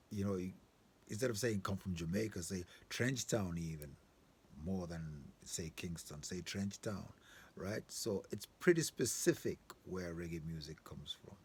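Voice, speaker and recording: A man's voice: low voice, a little mellow. A man from southern Africa hosting an informal, opinionated monologue, speaking emphatically with repetition and frequent rhetorical check-in questions. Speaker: host. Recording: clear background ambient noise.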